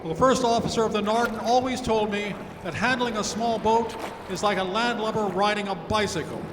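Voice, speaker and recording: A man playing a steady, genial reverend in command of a small boat, acted in old-time radio adventure style. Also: high voice, fairly quick, close to an everyday voice. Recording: echoey hall, clear background noise.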